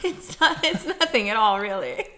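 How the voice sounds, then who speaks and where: A woman's voice: a little high, big pitch swings, measured pace, bright, forceful tone. A woman in her thirties co-hosting a podcast, teasing her partner and laughing in casual chat. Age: 30s. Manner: teasing, giggly, lots of fillers. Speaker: podcast co-host.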